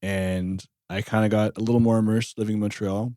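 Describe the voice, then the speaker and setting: A man in his thirties, a jazz bassist, chatting in an interview with a loose, rambling storytelling manner. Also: little pitch movement, a little fast, dark tone, dry recording, studio quality. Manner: rambling storyteller, frequent "like" and restarts. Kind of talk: casual interview chat.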